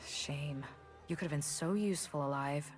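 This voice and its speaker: A scornful rebel bird-woman warrior from a video game. Her low voice delivers every line with dry, sarcastic deadpan, with music underneath. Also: unhurried. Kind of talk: video game champion voice lines.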